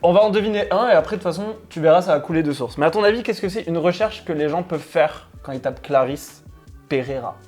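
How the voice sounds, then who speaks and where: A young man hosting a casual interview, talking fast in a relaxed slangy way. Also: a little high, faint music behind. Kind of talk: casual chat.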